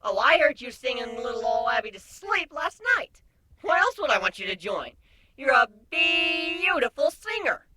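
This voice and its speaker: A man's voice: very high-pitched, very animated, moderate pace, sharp tone, pitched above the performer's own voice, full character voice. A man voicing a gleeful, taunting insectoid pony in a dramatic story reading.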